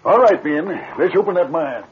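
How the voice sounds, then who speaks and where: A man's voice: a little high, animated, a little hiss or hum, close to an everyday voice. A man playing a tough sheriff, old radio. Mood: decisive. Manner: brisk, authoritative. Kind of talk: 1940s-50s radio western acting. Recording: muffled, narrow-band old radio, crowd behind.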